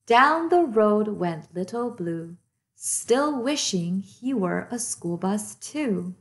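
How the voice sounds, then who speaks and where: A woman hosting storytime, reading a children's picture book aloud in a deliberate, expressive way to young listeners. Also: medium pitch. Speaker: storytime host and reader.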